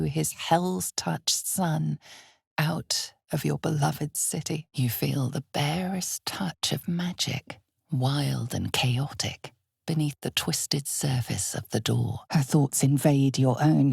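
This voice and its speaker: A woman playing an ominous, knowing narrator in a dark fantasy video game, with a husky, gravelly voice and measured, hushed, theatrical delivery. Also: low-pitched, dry recording, studio quality, full character voice. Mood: hushed, intense, then grave, commanding. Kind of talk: dramatic scripted second-person fantasy game narration.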